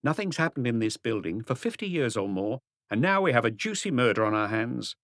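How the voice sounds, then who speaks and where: A man's voice: mid-range voice, sing-song, a little fast, fairly bright tone, dry recording, clean studio sound. A man voicing a cheerful, curious old man in an audiobook, good-humoured and fond of gossip. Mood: gleeful curiosity. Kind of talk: audiobook character dialogue.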